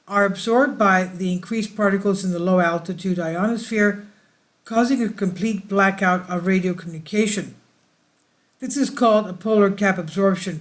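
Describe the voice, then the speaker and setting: Halting space weather update host. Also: mid-range voice. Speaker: video channel host. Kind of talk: reading space weather news aloud.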